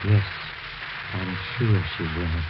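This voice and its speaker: Haunted, obsessive widower in muffled old radio. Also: male voice, deep voice, steady tone, slow. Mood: hushed, morbid waiting. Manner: intense, insistent, haunted. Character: grief-crazed, obsessive widower awaiting his dead wife. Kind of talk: 1940s radio horror acting. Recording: noisy, muffled 1940s radio recording.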